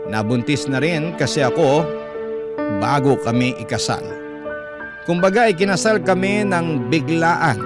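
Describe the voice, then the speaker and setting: A man giving scripted first-person narration in a confessional, wistful manner, in a classic radio-drama style. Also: on the high side. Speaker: letter narrator. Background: loud background music.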